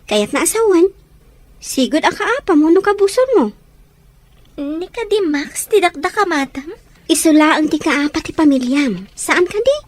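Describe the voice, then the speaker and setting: A very high, girlish voice of a young woman played by an actress in an Ilocano drama. She has a Filipino accent and sounds guarded yet tender. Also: lively intonation, moderate pace. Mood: teasing, excited. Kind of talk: Ilocano radio-style drama acting.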